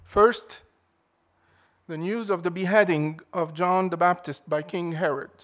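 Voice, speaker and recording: A man preaching a sermon in a measured, emphatic way, leaning hard on key words. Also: high voice, steady tone.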